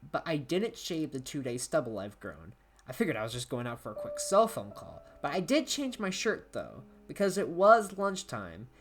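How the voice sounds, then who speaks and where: A young man playing an anxious, isolated college student in a first-person horror story, with a hesitant, brooding delivery. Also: on the high side. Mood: uneasy, self-doubting. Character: anxious isolated college-age man writing down his unease. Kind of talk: dramatic horror story reading. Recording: music under the voice.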